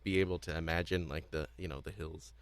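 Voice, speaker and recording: A man's voice: low voice, fairly even intonation, quick pace, clean tone, dry recording. A man co-hosting a casual podcast discussion, talking in a rambling, self-repeating way with a readiness to chuckle. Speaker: podcast co-host.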